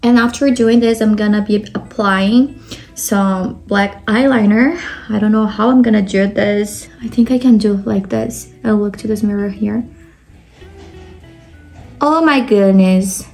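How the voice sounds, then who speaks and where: A young Brazilian woman with a Brazilian Portuguese accent speaks English as her second language, talking viewers through a makeup look one step at a time. Her voice sits at an everyday middle pitch, with fillers dropped in as she works. Soft music plays faintly underneath. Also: a little slow. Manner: step-by-step explaining, frequent "like" fillers. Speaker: makeup vlogger. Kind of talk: makeup tutorial talk.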